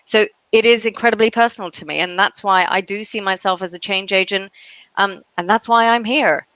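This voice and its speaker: A middle-aged woman speaks on a professional panel in a dry, close sound. She makes her points emphatically and punctuates them with "right?" and "you know". Her pitch is average for a woman. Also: brisk, fairly bright tone, no room sound, poor audio. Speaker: conference panelist, travel industry executive. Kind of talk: panel talk about own career and beliefs.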